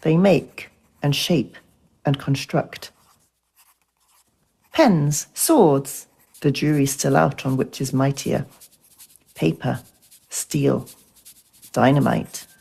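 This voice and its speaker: A woman narrates a poetic script with deliberate weight. Her voice is low and heavily creaky, with a dark, mellow tone. Each phrase is measured.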